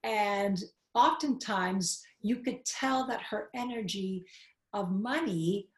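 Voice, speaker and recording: Roomy-sounding woman explaining patiently. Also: mid-range voice. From New York City, Queens. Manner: measured, explanatory, slows for key points. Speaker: guest. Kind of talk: reflective interview answers. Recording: roomy echo.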